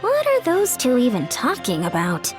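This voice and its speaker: An actress voicing a bold, excitable young woman in a video game, exclamatory, blunt and sassy. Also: a little high, normal speed. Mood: exasperated. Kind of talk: animated video game voice acting. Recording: game music under the voice.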